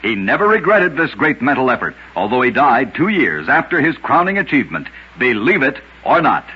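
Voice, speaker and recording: A man with a raspy voice reading scripted narration of curious facts. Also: medium pitch, big pitch swings, brisk, muffled recording.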